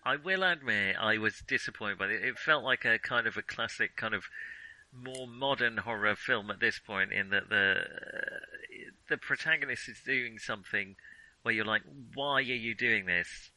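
Guy cohosting a film podcast, really bright forward voice, dry close sound, thinks out loud and backtracks. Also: lowish voice, normal speed, dry recording, low-quality recording. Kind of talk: casual film discussion.

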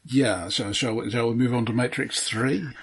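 Male podcast co-host, mid-pitched and husky, wry and drily sarcastic in casual critical talk. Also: steady tone, moderate pace. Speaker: film discussion podcast co-host.

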